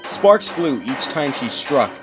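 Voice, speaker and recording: A man's voice: medium pitch, sing-song, slow pace, rough recording. A man reading scripted story narration as an audiobook narrator, in a dramatic storytelling manner. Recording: music behind the narration.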